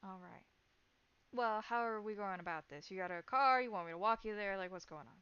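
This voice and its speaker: A woman's voice: medium pitch, a little fast, a bit bright, clean tone, no room sound, lightly acted. A woman voicing a wary, frank young woman who bargains with vampires casually and directly in a tabletop roleplay. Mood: direct, impatient. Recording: dull, band-limited sound.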